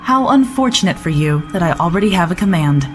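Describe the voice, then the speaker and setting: An actress playing a proud, combative starship commander in an audio drama, sarcastic and blunt. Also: female voice, fairly low pitch, lively intonation, brisk, music in the background, close to an everyday voice. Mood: bitter, angry.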